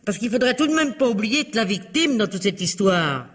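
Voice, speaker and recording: Radio mystery role: an indignant working-class maid, colloquial, emphatic, always justifying herself. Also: female voice, medium pitch, expressive, a little fast, fairly bright tone, husky. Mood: defiant. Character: chatty, stubborn, self-justifying working-class woman servant. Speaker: radio actor. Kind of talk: 1950s French radio mystery acting.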